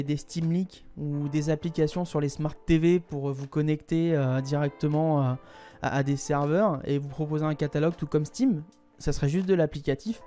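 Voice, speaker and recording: A man's voice: fairly high pitch, warm tone. A man hosting a casual live stream, rambling with fillers and talking to his chat. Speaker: streamer and show host.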